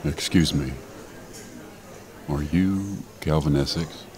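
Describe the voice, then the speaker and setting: An older actor playing a grave, formal elderly former priest in an audio drama. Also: male voice, rumbling low, takes it slowly, crowd noise. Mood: grave, purposeful. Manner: formal, solemn.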